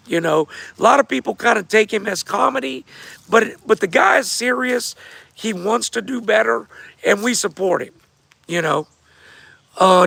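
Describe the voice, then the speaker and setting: Host, male, hoarse and gravelly, higher-pitched, laid-back chat to viewers. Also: a bit bright, no echo. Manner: laid-back, repeats himself, talks straight to viewers. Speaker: host of a fight show answering viewer questions. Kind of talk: casual livestream chat.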